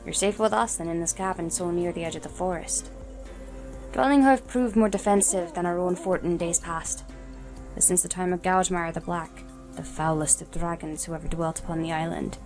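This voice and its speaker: A woman playing a courteous, watchful forest ranger leader in a fantasy audio story, speaking in a formal, measured, polite way. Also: on the low side, fairly even intonation, music in the background, natural voice. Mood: polite, cautioning.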